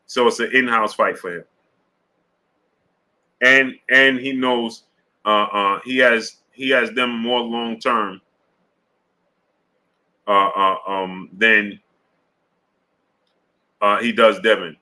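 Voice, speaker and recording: A man with a bright, forceful tone hosts a casual boxing live stream. He greets chat viewers by name and works his points out loud, stumbling through fillers and restarts. He keeps checking in with his audience as he goes. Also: fairly low pitch, fairly even intonation, takes it slowly, pauses often, rough recording. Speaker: sports show host.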